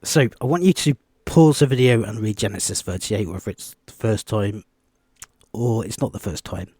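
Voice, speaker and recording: A quiet-voiced man giving a rambling, off-the-cuff Bible study video, with many ums and restarts. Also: on the low side, moderate pace, breathy edge, dry recording. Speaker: Bible teacher.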